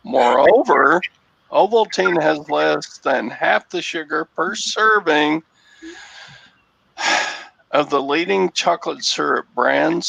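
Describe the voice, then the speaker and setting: A gravelly Texan man talks slowly and deliberately with a dry, joking edge. His voice is fairly high for a man. The sound is dry and dull, like lo-fi video call audio. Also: fairly bright tone, dry recording. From Texas. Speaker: reseller guest. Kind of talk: casual livestream chat.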